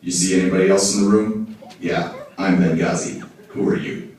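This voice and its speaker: A hard-boiled private eye puppet, acted by a man, with a very low voice and gruff, wry, slangy patter in a noir parody. He sounds distant, in a heavily echoing room. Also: fairly even intonation, moderate pace, a little mellow, natural voice. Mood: wry, skeptical. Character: wisecracking, down-on-his-luck hard-boiled male private detective puppet. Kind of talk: film-noir detective parody in a puppet show. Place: indoor hall, behind a puppet stage. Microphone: far camera in the audience. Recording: echoey room, distant audience camera.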